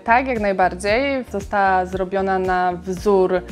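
Female architect guest, calm and explanatory, mid-pitch, some fillers, faint music under. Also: unhurried. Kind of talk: explaining her design in an interview.

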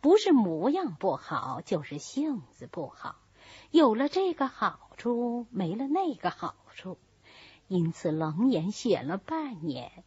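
A woman reading a novel voices an older, scheming wife of a wealthy master, speaking in a soft, coaxing manner. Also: mid-range voice, sing-song, slow pace, breathy edge, no room sound, dull audio, full character voice. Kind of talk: storybook character reading.

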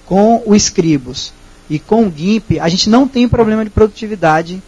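Brazilian man in his thirties, higher-pitched, husky tone, halting explanatory speaker on a handheld mic in a small auditorium. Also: darkish tone, muffled recording. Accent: Brazilian Portuguese. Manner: hesitant, many restarts, explanatory. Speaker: speaker at a technical talk. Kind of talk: presentation and audience Q&A. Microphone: handheld microphone.

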